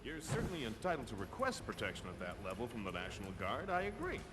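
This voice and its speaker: A smooth-talking male executive in a game cutscene. His mid-pitched voice moves expressively as he argues in measured phrases, with clear background noise. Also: wildly swooping pitch. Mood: measured, persuasive. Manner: measured, articulate. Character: measured, persuasive male corporate security executive. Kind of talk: video game cutscene acting.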